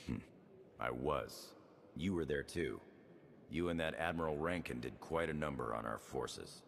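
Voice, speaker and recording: An actor playing a stern, battle-worn, dutiful military officer in a video game, plain-spoken and restrained. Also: male voice, rumbling low, a little slow, vocal fry, heavily acted. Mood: grave, resolute. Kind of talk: scripted video game dialogue. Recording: reverberant hall with faint background noise.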